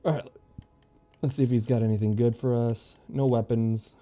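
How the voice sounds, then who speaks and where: A man streaming a video game, thinking aloud in easygoing casual chat and reading game text out loud. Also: medium pitch, steady tone, moderate pace, faint music behind.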